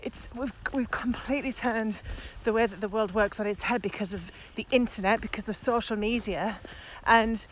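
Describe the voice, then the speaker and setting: A woman's voice: a little high, normal speed, hardly any pauses. Woman in her forties, chatting outdoors. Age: 40s. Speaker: podcast co-host, business owner. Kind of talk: casual conversational podcast chat. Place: outdoors at dusk. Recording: faint outdoor background noise.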